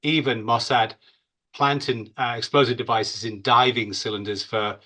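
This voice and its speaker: A man's voice: mid-range voice, fairly even intonation, normal speed, bright, forceful tone. A man giving off-the-cuff expert security analysis in an interview, conversational and emphatic, leaning on stock phrases.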